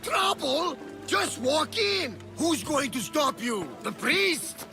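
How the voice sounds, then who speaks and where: Gravelly, excitable, boisterous Greek man. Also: very high-pitched, slow pace, over music, exaggerated voice. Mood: boisterous, teasing. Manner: boisterous, theatrical, blustering. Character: jovial, excitable older male Greek friend. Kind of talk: video game drama acting.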